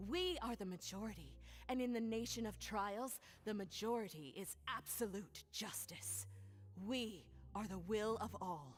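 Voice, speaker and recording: A woman's voice: medium pitch, measured pace, natural voice. A woman voicing an imperious, scornful spokeswoman for a majority in a video game, with a haughty, declamatory delivery. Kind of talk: theatrical video game voice acting. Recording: long hall reverb.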